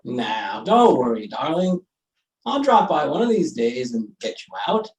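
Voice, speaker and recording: A man playing a wry, groggy newspaperman in old-time radio style, with a creaky voice and dry, teasing understatement. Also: fairly high pitch, sing-song, unhurried, darkish tone, roomy sound.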